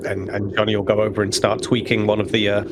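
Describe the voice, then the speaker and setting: A man's voice: on the low side, completely flat delivery, fast. A man playing a tabletop role-playing game, casually describing his character's actions and thoughts. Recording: heavy noise on the recording.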